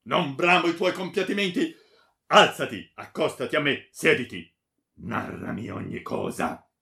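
A man's voice: mid-range voice, sing-song, gravelly, full character voice. A man voicing a furious, anguished baron, imperious and powerful, in a dramatic story reading. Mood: anguished, commanding. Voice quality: powerful, forceful. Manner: imperious, shouting, drawn-out threatening words. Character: furious anguished baron, commanding nobleman, male.

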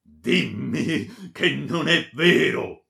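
A man's voice: mid-range voice, very animated, creaky, full character voice. A man voicing a furious, anguished baron, imperious and powerful, in a dramatic story reading. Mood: anguished, commanding. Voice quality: powerful, forceful. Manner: imperious, shouting, drawn-out threatening words. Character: furious anguished baron, commanding nobleman, male.

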